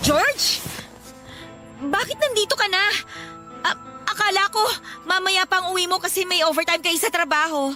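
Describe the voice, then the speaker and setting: An irritable live-in girlfriend in a Filipino radio drama. Her voice is extremely high and piercingly bright, with an exasperated, scornful edge. Music plays underneath. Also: normal speed, long pauses. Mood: flustered. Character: irritable, defiant live-in girlfriend, snappish and contemptuous.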